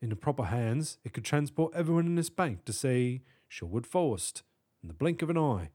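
A man's voice: medium pitch, lively intonation, moderate pace, dark tone, natural voice. A man voicing a small, frail middle-aged British professor in a storybook, fussy, prim and sly. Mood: smug explaining.

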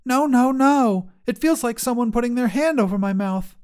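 Man doing a high-pitched plaintive little girl. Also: moderate pace, darkish tone, clean tone. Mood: protesting. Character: young girl, attached and anxious about her mother. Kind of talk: storybook character voice in prose narration.

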